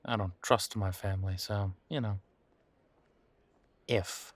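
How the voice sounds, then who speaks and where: A man playing a weary, wry werewolf boyfriend in an intimate audio roleplay, talking casually with hesitant, trailing pauses. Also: on the low side, steady tone, no room sound. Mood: reluctant, dry. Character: weary, wry male werewolf boyfriend estranged from his family. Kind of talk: intimate roleplay audio drama, conversational monologue.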